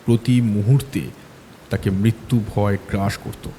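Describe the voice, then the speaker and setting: Male horror audiobook narrator: dark, soft-edged tone, mid pitch, measured dramatic pacing, long reverb over music. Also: fairly even intonation, slight background noise. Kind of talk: scripted ghost story narration.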